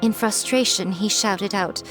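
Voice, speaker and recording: A woman's voice: medium pitch, a little slow, fairly bright tone, soft background music, lightly acted, poor audio. A woman voicing a composed, self-assured schemer in a video game, with a teasing, knowing manner. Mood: explaining calmly.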